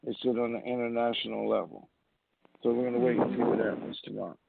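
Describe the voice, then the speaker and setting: Guy hosting a q&a conference call, gravelly voice, middle pitch, sounds like a phone. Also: fairly even intonation, fast talker, heavy background noise. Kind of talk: informal question-and-answer call. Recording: muffled narrowband phone line.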